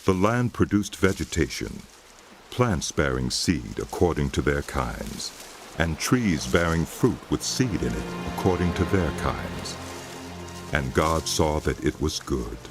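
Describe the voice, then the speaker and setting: A man narrating dramatized scripture in a solemn, deliberate storytelling manner. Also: rumbling low, big pitch swings. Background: music.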